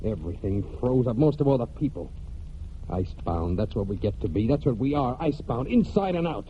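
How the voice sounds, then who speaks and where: An actor in a 1940s American radio drama playing a brooding young farm man and war veteran, speaking plainly and haltingly in rustic phrases. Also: mid-range voice, animated, slight background noise, close to an everyday voice. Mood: bitter. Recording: muffled 1940s radio broadcast with music.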